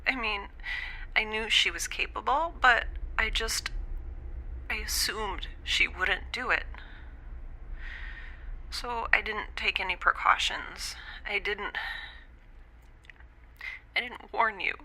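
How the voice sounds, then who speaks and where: A stranded young scientist recording her log in an audio drama. She talks slowly and haltingly, with a wry, self-deprecating edge and an anxious, confessional manner. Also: mid-range voice, pushed and bright, heavily acted, rough recording. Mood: guilty, subdued.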